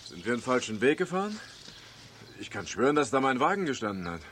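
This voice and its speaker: A man's voice: mid-range voice, very animated, fast, fairly bright tone, breathy edge. A man playing a baffled, blustering motorist in a children's radio play, exclaiming with a Bavarian accent. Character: baffled, blustering male motorist, prone to cursing. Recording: faintly noisy 1970s radio recording.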